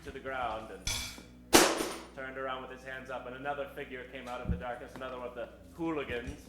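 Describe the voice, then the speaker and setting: A man's voice: medium pitch, flat delivery, a little fast. A man narrating improvised old-time radio stories as host, with theatrical storytelling. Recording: echoey stage, music behind.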